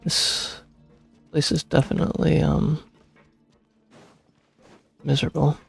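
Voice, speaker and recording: A young man streaming a game, reading its text aloud and commenting casually, with a creaky, husky voice. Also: on the high side, level tone, takes it slowly. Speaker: gaming streamer. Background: soft game music.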